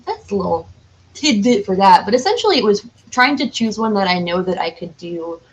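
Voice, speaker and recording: A woman's voice: mid-range voice, very animated, fairly quick, highs cut off. A woman speaking as a podcast guest in an informal, enthusiastic, chatty way. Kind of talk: casual chat.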